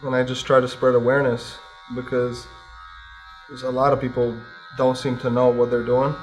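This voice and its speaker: Flat-voiced laid-back young man from Palestine. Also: faint music behind, rough recording. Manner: laid-back, low-key, flat and unhurried. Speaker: hip hop artist guest. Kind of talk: casual interview chat. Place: barbershop, getting a haircut.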